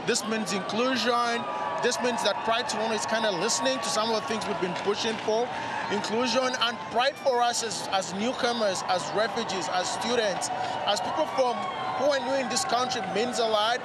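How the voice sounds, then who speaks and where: A man from Uganda gives an earnest live interview outdoors at a parade, repeating and stressing his key words. His voice sits fairly high, and a loud crowd and street noise surround him. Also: moderate pace. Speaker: parade guest, newcomer ambassador.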